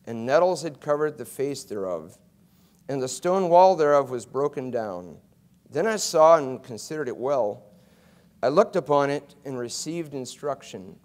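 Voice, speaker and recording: A middle-aged man preaching a chapel sermon from a pulpit, going from soft and low to loud and emphatic, often restarting his words. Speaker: guest preacher. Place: college chapel stage behind a pulpit. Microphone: pulpit mic, wide fixed camera.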